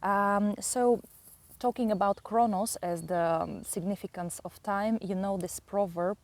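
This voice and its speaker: A woman with a Bulgarian accent, a native Bulgarian speaker, explaining astrology and mythology with many pauses and fillers. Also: medium pitch, fairly even intonation. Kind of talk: slow explanatory astrology talk.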